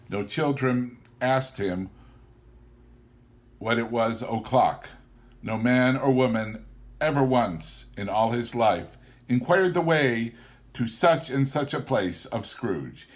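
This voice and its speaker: A man reading a classic story aloud as a narrator, with deliberate, theatrical and emphatic phrasing. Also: medium pitch. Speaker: audiobook narrator.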